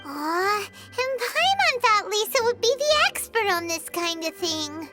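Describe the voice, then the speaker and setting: A woman voicing a tiny, excitable, childlike companion creature in a video game, squeaky and exclamatory. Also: a little slow, over loud music, exaggerated voice. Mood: let down. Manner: exclamatory, refers to herself in third person. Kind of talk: scripted video game dialogue.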